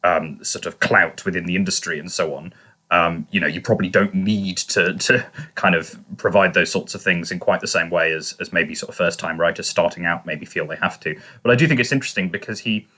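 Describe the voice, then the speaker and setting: A man with a fairly low but bright, forward voice chats as a podcast co-host. He offers analysis in a tentative, qualified way. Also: fairly quick. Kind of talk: casual analytical discussion.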